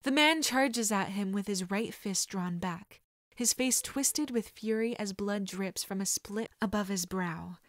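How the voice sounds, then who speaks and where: A woman reading a story aloud as scripted dramatic narration, expressive and at times dropping to hushed tones. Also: mid-range voice, no room sound, clean studio sound. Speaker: fan fiction audiobook reader.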